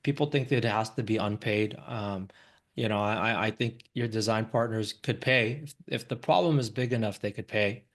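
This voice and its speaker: A man's voice: lowish voice, moderate pace. An American man, an investor, giving an informal live presentation in a conversational way, often checking in with listeners. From Los Angeles, United States. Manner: conversational, frequently checks in with "right?".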